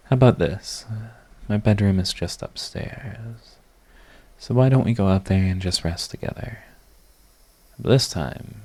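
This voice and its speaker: A man playing a proud yet tender, lovestruck villain in a romantic ASMR audio roleplay, speaking in a halting, intimate, earnest way. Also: low-pitched, creaky, darkish tone, breathy edge, heavily acted.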